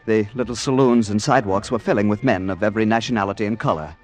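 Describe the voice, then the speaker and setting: A man's voice: on the low side, fast talker, natural voice. An actor playing a timid, prim American tourist in an old-time radio drama, stammering politely and narrating his adventure. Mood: uneasy, confiding. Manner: flustered, stammering, polite nervous chuckles. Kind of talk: 1940s-50s American radio drama acting. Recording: vintage radio broadcast with music.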